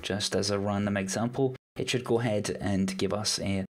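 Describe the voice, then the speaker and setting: A man's voice: on the low side, moderate pace, slight background noise. A man with a cold, his voice a little worn, narrating a screen-recorded coding tutorial step by step. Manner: relaxed walkthrough narration, explaining step by step. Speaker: programming tutorial host.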